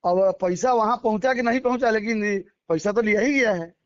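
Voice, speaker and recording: A man's voice: sits high, normal speed, smooth, no echo. A middle-aged man with a Bihari accent speaking emphatically and repetitively, in colloquial words, as a local politician in a phone interview. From Bihar, India. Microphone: phone call. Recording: dull lo-fi phone call recording.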